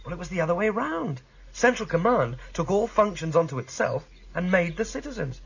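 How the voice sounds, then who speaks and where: A restless, questioning young man in a radio drama. His voice sits fairly high and swings freely in pitch, on a slightly dull recording with some faint noise. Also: big pitch swings, a little fast, gravelly, a bit bright, slightly rough, heavily acted. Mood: intense. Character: earnest, questioning young male rebel against a controlling state.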